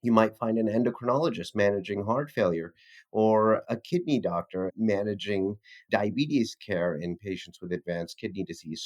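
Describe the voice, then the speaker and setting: Man, slightly deep voice, physician guest, formal, explanatory, interview answers.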